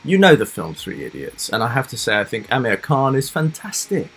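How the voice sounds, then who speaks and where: A man with a slightly husky, mid-pitched voice chats his way through a relaxed live stream, talking straight to his viewers. He rambles easily and leans on fillers and little laughs. Faint music sits underneath. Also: a little fast. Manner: chatty and rambling, with many fillers and soft laughs. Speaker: reaction livestream host.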